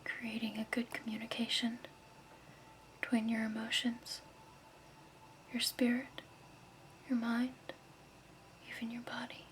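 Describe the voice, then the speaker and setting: A woman playing a gentle, soothing crystal shop keeper in a hushed, patient ASMR roleplay whisper. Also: on the high side, flat delivery, a little slow, long pauses, a little hiss or hum, natural voice, rough recording. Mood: gentle, explaining.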